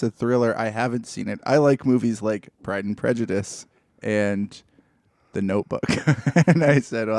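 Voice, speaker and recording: A man's voice: medium pitch, creaky, a little mellow, dry recording. A man, middle-aged, chatting casually and telling anecdotes with a wry, mock-formal turn of phrase, as a podcast co-host.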